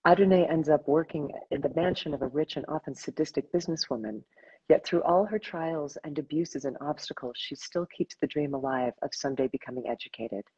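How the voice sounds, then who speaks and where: Older lady host gushing on a video call, breathy voice, bit muffled audio. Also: low-pitched, a little fast, a little mellow. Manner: effusive, enthusiastic, laughs often. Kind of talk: casual interview. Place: home room with plants.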